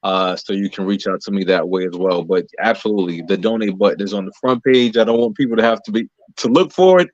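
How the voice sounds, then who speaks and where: A man answering as an interview guest in a halting, explanatory, conversational way, pausing between phrases. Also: lowish voice, a little mellow, no room sound, lo-fi sound. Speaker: interview guest, playwright and lead actor.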